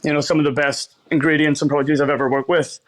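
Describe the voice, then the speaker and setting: A man's voice: fairly high pitch, little pitch movement, fast talker. A man with a Northern Irish accent talking casually as an interview guest, often rephrasing himself as he goes. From Belfast, Northern Ireland. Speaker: chef, podcast guest.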